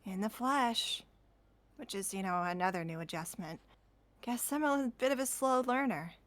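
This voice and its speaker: A woman's voice: medium pitch, lively intonation, moderate pace, lightly acted. A woman voicing a teasing, wry teenage girl who is fond of her friend, in a video game fandub. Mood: tender, wry.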